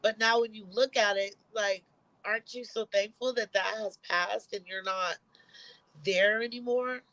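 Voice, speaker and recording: A woman hosting a casual interview, encouraging her guest in a relaxed, plain-spoken way. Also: medium pitch, measured pace, no echo, low-quality recording. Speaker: podcast host.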